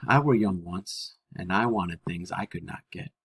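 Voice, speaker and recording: A man's voice: low-pitched, a little slow, gravelly, a bit bright, slightly rough, voice pushed down, heavily acted. A man voicing a stern but kindly older working woman in a storybook reading, with a folksy, blunt way of talking. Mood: frank, kindly. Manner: folksy, blunt, nonstandard grammar.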